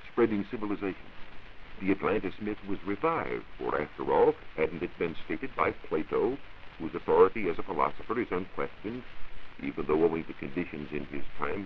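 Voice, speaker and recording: Dull hissy 1940s radio recording, American man, low voice, formal science reporter, measured lecturing, very varied intonation. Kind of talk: 1940s scripted educational radio talk. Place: radio studio.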